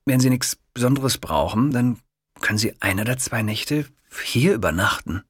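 A man's voice: mid-range voice, animated, fairly bright tone, husky, no room sound, clean studio sound, pitched above the performer's own voice. A man putting on a chatty, pushy kiosk owner. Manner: eager, rapid, stumbling over words.

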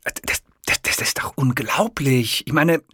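A man voicing a chatty, pushy middle-aged male kiosk owner who talks fast, eagerly and stumbles over his words in a storybook reading. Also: mid-range voice, very animated, a bit bright, slightly rough, no room sound, clean studio sound, pitched above the performer's own voice.

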